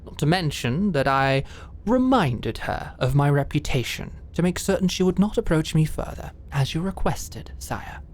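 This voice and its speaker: A woman playing a composed, dutiful lady knight in a fantasy audio drama, speaking in a formal, measured, deferential way. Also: sits low, expressive, darkish tone. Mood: firm, formal.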